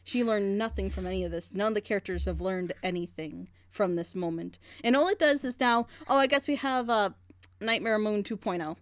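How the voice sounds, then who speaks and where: A woman's voice: mid-range voice, a little fast, no room sound. A woman in a group reaction show, critically breaking down a plot twist with rhetorical questions. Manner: critical, analytical, builds argument with rhetorical questions. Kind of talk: animated group reaction chat.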